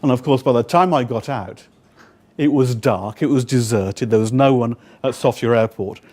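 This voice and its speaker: A man's voice: mid-range voice, moderate pace, a little mellow. A British man in his fifties, a guest speaker and interpreter, telling a personal story to a live audience with dry, wry humor. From United Kingdom. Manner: conversational, acts out quoted exchanges.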